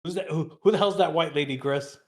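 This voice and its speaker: A man's voice: on the high side, quick pace. A man co-hosting a live stream, joking and egging others on in casual banter. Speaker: co-host.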